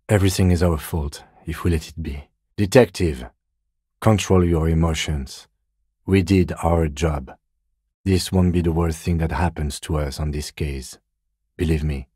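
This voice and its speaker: An actor playing a composed, dry police lieutenant in a video game, speaking softly in a measured, restrained deadpan with a slightly husky voice. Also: male voice, a bass voice, no echo, full character voice. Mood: firm, steadying. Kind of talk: video game dialogue acting.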